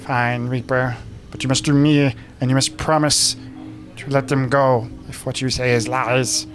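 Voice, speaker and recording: A man voicing a resigned, distrustful male kobold in a tabletop roleplaying game, bargaining gravely. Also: medium pitch, level tone, measured pace, natural voice. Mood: grave, reluctant. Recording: music behind the voice.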